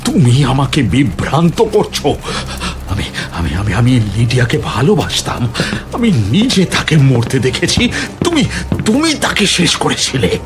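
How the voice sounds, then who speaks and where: A man's voice: medium pitch, big pitch swings, normal speed, lightly acted. A man playing a guilt-ridden, paranoid man coming apart in an audio drama, stammering and repeating himself theatrically. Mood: disbelieving, agitated. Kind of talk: audio drama acting, Bengali mixed with English.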